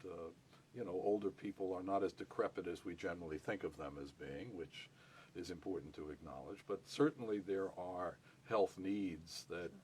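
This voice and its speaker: A man's voice: low voice, moderate pace, a little mellow, a little hiss or hum. An older man hosting an interview, asking probing questions and restating his guests' points in plain terms. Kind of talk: conversational interview.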